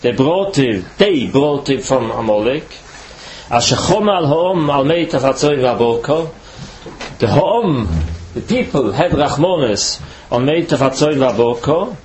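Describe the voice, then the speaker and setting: A man from Switzerland, not a native English speaker, giving a Torah lecture as a rabbi in halting, careful English. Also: mid-range voice, lively intonation, a little mellow, slight background noise, poor audio.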